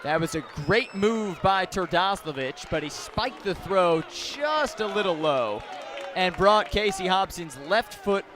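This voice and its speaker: A man calling baseball play-by-play live on the radio, phrasing deliberately and swelling on the big plays. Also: sits high, expressive, music in the background. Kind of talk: live radio sports commentary. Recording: noisy outdoor ballpark with crowd. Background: ballpark crowd noise.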